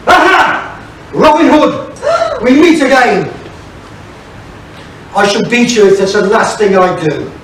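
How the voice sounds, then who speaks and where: A slow, high-voiced pantomime villain sheriff, echoey. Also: male voice, sing-song, a bit bright, full character voice. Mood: gloating. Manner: theatrical, bombastic, exclamatory. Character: blustering, gloating villainous pantomime sheriff, cowardly when beaten. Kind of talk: stage pantomime villain acting. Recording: very echoey hall, distant mic, faint noise.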